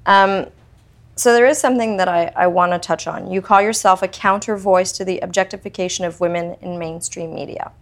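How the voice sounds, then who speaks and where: A woman hosting an interview, asking measured, probing questions. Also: fairly low pitch, fairly even intonation. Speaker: host.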